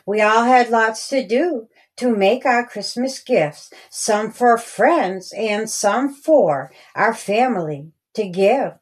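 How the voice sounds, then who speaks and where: A woman narrating a rhyming children's story in a deliberate, singsong storytelling manner. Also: a little high, husky. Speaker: author reading own story.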